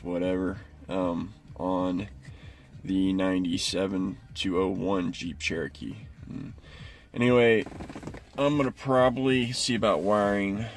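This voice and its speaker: A man hosting a casual, unscripted DIY car install walkthrough, explaining informally and in a rambling way. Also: on the low side. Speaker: DIY car video host. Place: in and around a car.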